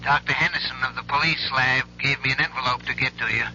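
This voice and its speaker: An actor playing a dutiful police subordinate making a matter-of-fact report in a 1970s radio drama. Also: male voice, medium pitch, steady tone, quick pace, pushed and bright, slight background noise. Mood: businesslike. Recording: dull old radio recording.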